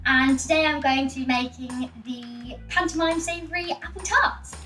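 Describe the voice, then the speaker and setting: A woman's voice: high voice, normal speed, pushed and bright, soft background music. A young woman from England chatting casually as an amateur baker while she cooks. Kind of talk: casual chat while baking. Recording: roomy, distant mic.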